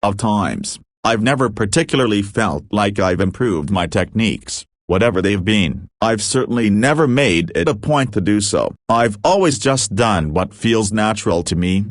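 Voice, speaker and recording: A man narrating online posts aloud in a steady read-aloud manner, speaking each post in the first person. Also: lowish voice, moderate pace, hardly any pauses, no echo, clean studio sound.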